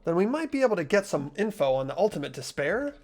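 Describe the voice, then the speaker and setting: Amateur acting a hesitant schoolboy, earnest, lively intonation, thinking aloud, visual novel game, faint music behind. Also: medium pitch, fast. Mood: tentative, earnest. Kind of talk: visual novel voice-over reading.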